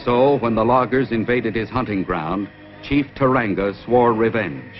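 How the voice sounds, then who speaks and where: A man's voice: fairly low pitch, measured pace. A man giving scripted narration as the storyteller of an old-time radio adventure. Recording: dull lo-fi old radio, music behind.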